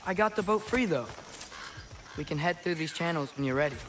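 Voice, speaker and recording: Guy playing curious teenage boy in a video game, highish youthful voice, chatty, noisy water behind. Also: animated, a little fast, natural voice. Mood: chatty, questioning.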